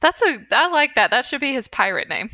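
A woman's voice: high-pitched, big pitch swings, fairly quick, sharp tone, dry recording. A woman co-hosting a podcast in casual chat, with wry, playful quips. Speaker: podcast co-host.

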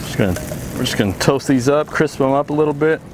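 A man's voice: medium pitch, fast talker. A man in his thirties chatting casually and informally as a fishing vlogger, with slangy exclamations. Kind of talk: casual outdoor vlog chat. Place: outdoors on rocky seashore. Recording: outdoor ocean surf noise.

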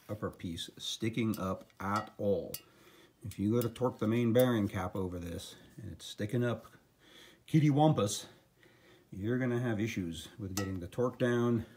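An older man explaining engine assembly step by step in a garage workshop. He sounds practical and instructive, with quick asides as he works. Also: fairly low pitch, a little mellow, slight background noise. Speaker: engine builder. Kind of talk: hands-on workshop demonstration.

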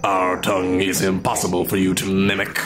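A man playing a proud, gruff demon in an audio drama, speaking tersely and sternly. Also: low-pitched, completely flat delivery, normal speed, music in the background, natural voice. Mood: disdainful. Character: gruff, proud, curt native demon, alien tongue. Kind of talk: audio fiction podcast acting.

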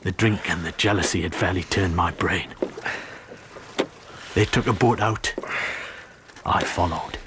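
An actor playing a guilt-ridden, jealous ship's steward making a bitter, haunted confession in a radio drama. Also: male voice, deep voice, a little slow, pauses often, background noise. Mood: bitter, tormented.

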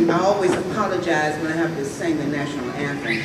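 A woman reverend with a raspy voice, slowly and earnestly leading a prayer at a ceremony from a podium microphone on stage. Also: deep voice. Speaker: reverend giving invocation. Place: stage of a large hall at a graduation ceremony. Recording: echoey hall, distant and faintly noisy.

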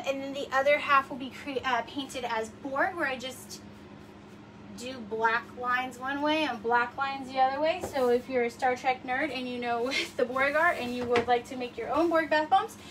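A woman's voice: high-pitched, fairly even intonation, normal speed, far from the mic. A woman in her forties hosting a casual livestream from her home kitchen, chatty and rambling with lots of fillers, a little sniffly. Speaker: livestream host. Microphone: phone camera across the room. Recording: voice a bit off-mic, faint room noise.

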